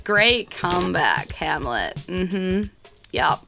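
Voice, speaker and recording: A woman's voice: on the low side, expressive, slow, vocal fry, pushed and bright, soft background music, exaggerated voice. A woman playing a young adventurer's supportive, blunt friend in a casual, encouraging, slightly exasperated way. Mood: sarcastic.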